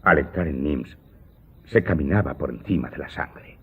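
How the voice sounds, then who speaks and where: A man's voice: very deep voice, lively intonation, normal speed, husky, exaggerated voice. An actor playing an aggrieved servant earnestly telling his story to his master in a radio drama. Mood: earnest, grave recounting. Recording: muffled narrow-band audio drama recording. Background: loud music.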